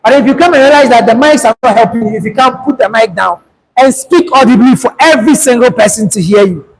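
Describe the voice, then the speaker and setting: Male trainer, very high and light voice, direct and urging, lecture hall reverb. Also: fast. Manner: direct, coaching, urges the group to hurry. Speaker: public speaking trainer. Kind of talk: workshop lecture to students. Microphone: handheld microphone. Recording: long hall reverb, faint background noise.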